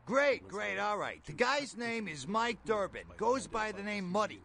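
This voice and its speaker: Video game bail bondsman: streetwise, slangy hustler, bright high voice, swooping delivery. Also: lively intonation, a little slow, creaky, full character voice. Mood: brisk, streetwise. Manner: colloquial, streetwise, twangy slang. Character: jittery, folksy, fast-talking male bail bondsman.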